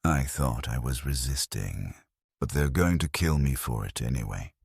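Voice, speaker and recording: Condemned labourer , read by a man: hollow, despairing, rock-bottom pitch, husky, grim audio story. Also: expressive, normal speed, no echo, studio quality, exaggerated voice. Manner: hollow, bleak. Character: despairing, hollow-voiced male factory worker condemned to die.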